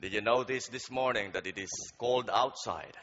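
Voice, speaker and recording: A man preaching a sermon in a church, praying and telling a story in a slow, deliberate, halting way with fillers, growing louder as the story builds. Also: medium pitch. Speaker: guest preacher. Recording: dull sound with long hall reverb.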